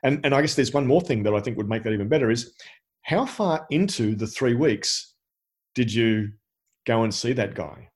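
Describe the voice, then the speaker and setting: A man with a medium-pitched voice gives casual feedback as a podcast co-host. He explains his points reflectively, in relaxed discussion style. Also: normal speed.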